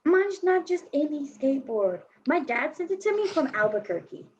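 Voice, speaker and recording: Woman acting mouthy defensive 14 yr old skater girl, high scratchy voice, slangy, dull lo-fi audio. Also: sing-song, normal speed. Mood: cocky, defensive. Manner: blunt, slangy, sarcastic. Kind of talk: staged play reading. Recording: dull lo-fi recording.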